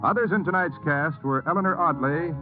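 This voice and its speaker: A man's voice: fairly high pitch, brisk, over music. Vintage male radio announcer, thin tinny sound. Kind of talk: 1950s radio credits announcement.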